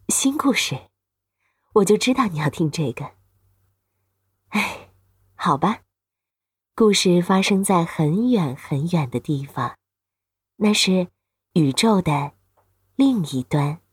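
Slow, husky woman storyteller. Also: fairly low pitch, expressive, dry recording, clean studio sound. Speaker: radio drama host and storyteller. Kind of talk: scripted storytelling narration.

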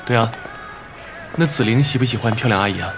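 A male actor plays a fond father in a modern radio drama. He speaks at a middle pitch in a measured, coaxing way. Music is clearly audible behind him. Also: lightly acted. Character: father, adult man talking fondly to his small child.

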